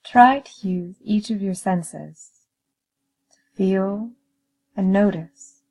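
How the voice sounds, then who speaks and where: A woman leading a guided meditation in a calm, soothing, measured manner with gentle pauses. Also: mid-range voice, breathy edge, rough recording.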